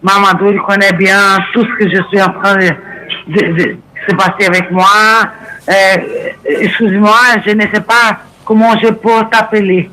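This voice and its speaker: A woman whose first language is Portuguese, calling in on the phone and speaking French haltingly, with many restarts and fillers. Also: mid-range voice, flat delivery, sharp tone, poor audio. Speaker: caller. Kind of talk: phone conversation.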